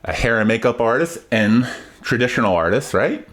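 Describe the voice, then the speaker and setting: A middle-aged man hosting a podcast, asking questions with stammering restarts and filling in with short, quick replies. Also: mid-range voice, expressive, a bit bright. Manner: stammering restarts, frequent short backchannels and laughs. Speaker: podcast host. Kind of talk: podcast interview.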